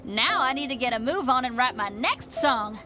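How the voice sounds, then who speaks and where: An actress voicing a spirited young female musician in a video game, folksy and excitable. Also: high-pitched, normal speed. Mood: playful, eager. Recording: prominent music under the voice.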